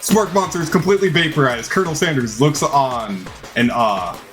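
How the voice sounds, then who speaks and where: A man's voice: on the high side, moderate pace. A man narrates a dating simulator playthrough, reading the on-screen story aloud. His delivery is theatrical and dramatized, and music plays underneath.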